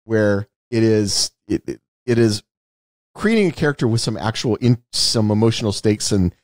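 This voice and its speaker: A man's voice: fairly low pitch, animated, normal speed, darkish tone, dry recording. Man, podcast co-host, casual film discussion, emphatic explainer, rephrases often, dry recording.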